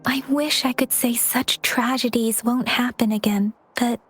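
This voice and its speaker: A woman playing a young female outrider from a video game, earnest and empathetic. Her voice sits a little high and moves slowly, soft and pensive with hesitant pauses. Game music plays prominently behind her. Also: fairly even intonation, lightly acted. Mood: troubled, earnest. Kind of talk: video game cutscene voice acting. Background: game soundtrack music.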